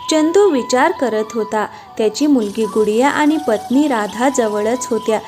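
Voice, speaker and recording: A woman's voice: fairly high pitch, a little mellow. A woman reading scripted narration for a cartoon moral story. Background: light background music.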